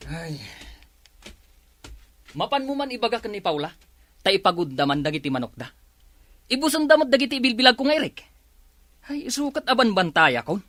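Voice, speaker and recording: Hot-headed Filipino husband, lively and sharp. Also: male voice, sits high, brisk, pauses often, no room sound, exaggerated voice. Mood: annoyed. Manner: grumbling, argumentative. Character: Alan, hot-headed stubborn farmer husband, quick to grumble. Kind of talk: radio drama acting.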